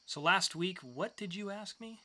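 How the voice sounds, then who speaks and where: A man's voice: high voice, animated, normal speed, a crowd in the background. A man co-hosting a podcast in casual banter, speaking loosely and swearing freely. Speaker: podcast co-host.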